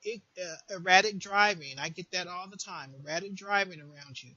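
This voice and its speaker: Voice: medium pitch, brisk, sharp tone, slightly rough, no echo, highs cut off. A person giving an informal, earnest advice monologue, repeating phrases for emphasis, as a support group host would.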